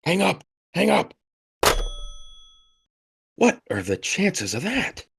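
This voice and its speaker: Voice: medium pitch, wildly swooping pitch, takes it slowly, gravelly, fairly bright tone, slightly rough, full character voice. An actor in an audio play voicing an anxious, exasperated hotel guest who sputters and hesitates wryly.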